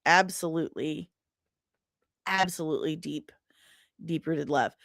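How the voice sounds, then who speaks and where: Animated woman chatting on a livestream. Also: deep voice, a little slow, creaky, no room sound, clean studio sound. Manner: animated, rambling, self-deprecating. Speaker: livestream host. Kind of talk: casual livestream chat.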